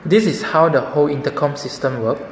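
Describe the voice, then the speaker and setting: A man's voice: fairly high pitch, fairly quick. A man presenting a product demonstration, explaining things simply, step by step. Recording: echoey reverberant room, background noise.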